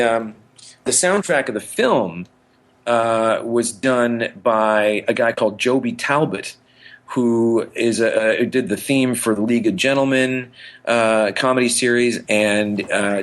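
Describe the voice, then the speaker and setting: A man co-hosting a podcast in casual chat, rambling enthusiastically and often correcting himself mid-thought. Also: mid-range voice. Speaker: podcast co-host.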